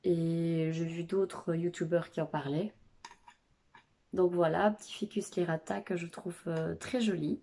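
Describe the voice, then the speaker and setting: A woman in her thirties with a cold and a nasal voice, chatting casually about her houseplants at home, pausing often with filler sounds. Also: on the low side, steady tone, warm tone. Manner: hesitant, many filler sounds. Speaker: plant vlogger. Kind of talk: casual chat. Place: home living room.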